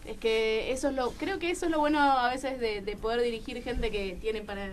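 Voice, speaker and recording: A woman's voice: on the high side, normal speed, a little hiss or hum. An Argentine woman speaking casually and personally as a guest on a radio show. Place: radio studio.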